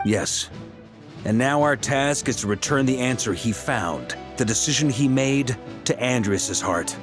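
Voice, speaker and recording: A seasoned knight commander from a fantasy game, played by a man, with a medium-pitched voice. He sounds assured and genial as he explains, steady and measured, with faint music underneath. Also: natural voice. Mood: earnest. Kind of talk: video game dialogue acting.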